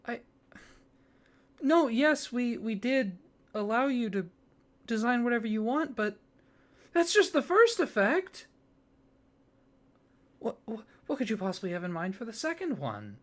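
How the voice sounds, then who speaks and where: A man doing a soft-spoken ASMR roleplay, hesitant and flustered, with many fillers, restarts and awkward apologies. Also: very high-pitched, very animated, a little slow, pauses often.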